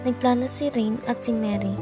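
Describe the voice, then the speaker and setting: A woman's voice: on the high side, fairly even intonation, normal speed, over loud music. A young woman reading scripted narration for a story as the narrator. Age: young adult.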